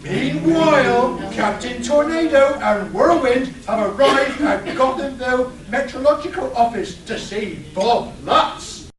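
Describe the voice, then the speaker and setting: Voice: sits high, lively intonation, unhurried, few pauses, a crowd in the background. A narrator reading scripted scene links for a comic radio play performed live. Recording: echoey hall, distant mic, audience noise.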